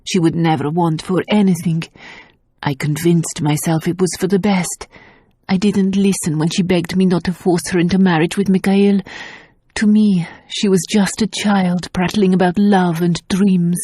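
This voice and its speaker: A woman's voice: fairly low pitch, steady tone, normal speed, mellow, breathy edge, no room sound, studio quality. Woman doing a tearful, remorseful mother. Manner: tearful, whispering. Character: remorseful mother, middle-aged noblewoman.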